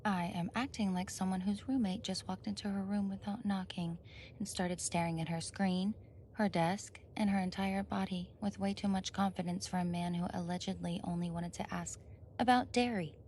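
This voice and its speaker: A woman's voice: mid-range voice, fairly even intonation, moderate pace, darkish tone, a little hiss or hum, lightly acted. A woman acting a flustered, defensive young roommate caught off guard in an ASMR roleplay, stammering, indignant and evasive. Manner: stammering, indignant, evasive, sighs and nervous laughs.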